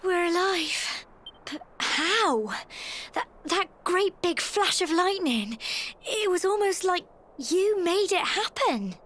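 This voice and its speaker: A woman playing a spirited, warm-hearted young village heroine in a video game cutscene, with a British accent and an expressive, plucky delivery. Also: extremely high pitch, takes it slowly, exaggerated voice. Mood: amazed.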